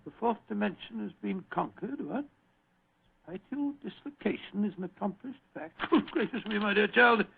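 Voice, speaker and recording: A genial, fussy British gentleman storyteller in an old radio play. His voice is high and crackly, and he blusters and repeats himself. The sound is thin and phone-like. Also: animated, moderate pace, creaky, breathy edge, heavily acted. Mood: amused, dismissive. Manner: blustering, hesitant, repeats words. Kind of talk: vintage radio drama acting.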